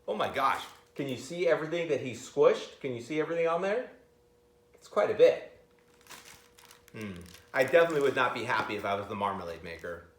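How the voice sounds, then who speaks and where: A middle-aged man reading a picture book aloud expressively, pausing to comment and ask his listeners questions, recorded in a school library. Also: medium pitch, expressive. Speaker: school reader of picture books to children. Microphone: camera a few metres away. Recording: roomy library, camera at a distance.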